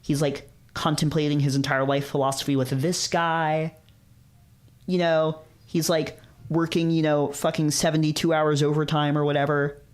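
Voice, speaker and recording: A man's voice: a little high, flat delivery, normal speed. A man reading visual novels aloud and chatting casually as a streamer, often repeating himself in informal slang. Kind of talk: casual gaming chat and read-aloud narration.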